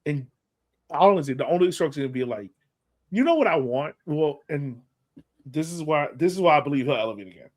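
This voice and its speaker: A man's voice: fairly high pitch, animated, fairly quick. A man hosting a sports podcast, giving casual fan commentary with playful, acted-out banter.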